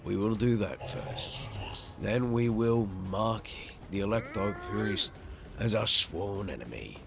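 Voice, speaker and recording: A man hosting a game playthrough in a low voice with a creaky edge. He thinks out loud and chats to his characters at an unhurried pace, over game music. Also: lively intonation. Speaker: gaming channel host. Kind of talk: playthrough commentary over a turn-based strategy game.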